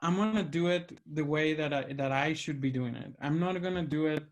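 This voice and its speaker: A man's voice: a little high, a little fast. Earnest Mexican-accented male host. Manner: earnest, emphatic, searching for words. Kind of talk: reflective conversation.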